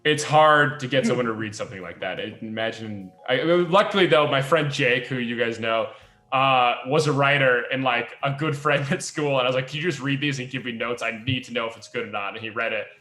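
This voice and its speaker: A young adult man, a podcast host and writer, chatting casually in an animated, rambling, self-deprecating way. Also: medium pitch, fairly quick, roomy sound. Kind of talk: casual chat.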